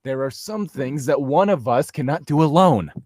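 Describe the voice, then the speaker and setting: A man's voice: fairly high pitch, lively intonation, normal speed, darkish tone, no echo, studio quality. A man voicing a melodramatic, lovesick and threatening heroine in a mock reading of a fantasy story. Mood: melodramatic pleading. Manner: melodramatic, mocking.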